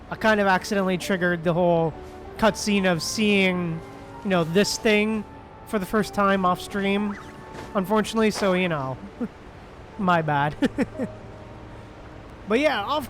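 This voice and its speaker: A man chatting casually as he livestreams a game, with hesitant, drawn-out phrasing. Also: high voice, a bit bright. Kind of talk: casual livestream chat while playing. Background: game music.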